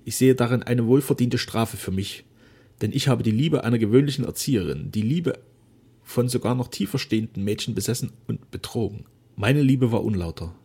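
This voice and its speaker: A man reading aloud voices a dying old duke speaking solemnly and gravely to his daughter. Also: medium pitch, fairly quick, mellow, pitched above the performer's own voice. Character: dying proud old duke, remorseful father.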